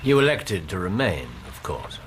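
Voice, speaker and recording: Radio casting: sharp-minded Victorian English sleuth, assured, extremely expressive intonation, clear background noise. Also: male voice, deep voice, normal speed. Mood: probing. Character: incisive, probing Victorian English detective. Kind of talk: radio drama acting.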